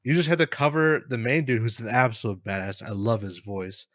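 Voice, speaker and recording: A man with a mid-pitched voice, recorded close and dry, co-hosts a gaming show. He chats casually and offers opinions in informal, bro-heavy slang with the odd swear. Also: steady tone, brisk, fairly bright tone, no room sound. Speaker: co-host of gaming news show.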